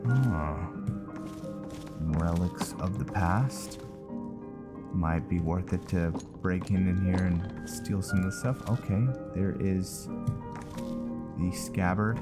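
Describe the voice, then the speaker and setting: A man's voice: low-pitched, slow pace, long pauses, over loud music. A man giving casual, laid-back let's-play commentary on a video game, thinking aloud as he plays. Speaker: gaming host, roleplaying player.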